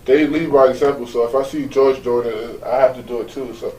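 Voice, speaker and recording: A man's voice: mid-range voice, fairly even intonation, fairly quick, roomy sound, far from the mic. A young man from Jamaica who plays college basketball, answering casually and filling his talk with "like". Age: young adult. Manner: casual, many "like" fillers, soft-spoken.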